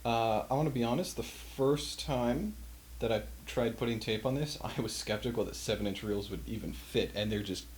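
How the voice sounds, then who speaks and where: A man's voice: mid-range voice, moderate pace, a little mellow, slight background noise. A man giving a casual, unscripted hands-on demonstration of old equipment in a wry, self-deprecating, offhand way. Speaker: host demonstrating vintage audio equipment.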